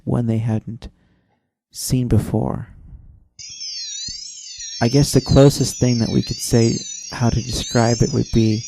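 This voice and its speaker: A very dark, gravelly voice of a salty old sea captain, acted out by a man, spinning a yarn over easy listening music. He rambles slowly, with dramatic pauses and repeated words, in the manner of an old-time radio adventure. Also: mid-range voice. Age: older. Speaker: storyteller. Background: easy listening soundtrack.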